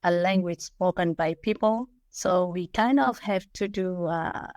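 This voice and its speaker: A woman's voice: fairly low pitch, measured pace, darkish tone, no echo. Hesitant Japanese-native woman, dry sound. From Indonesia. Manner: hesitant, self-correcting, with fillers. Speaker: PhD student. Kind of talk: interview answers.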